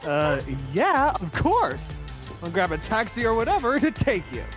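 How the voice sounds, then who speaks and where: A woman with a mid-pitched, very animated voice plays around with game dialogue among friends. She takes her time and sounds theatrical. Game music runs clearly behind her. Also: unhurried. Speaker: participant reading game dialogue aloud. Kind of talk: casual chat mixed with playful dialogue reading. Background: video game music.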